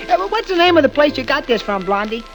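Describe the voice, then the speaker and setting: An American actor playing a bumbling, eager young husband in a 1930s radio comedy, halting and flustered. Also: male voice, very high-pitched, big pitch swings, fairly bright tone, soft background music, exaggerated voice. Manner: halting, flustered, full of uhs. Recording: noisy, crackly 1930s radio broadcast.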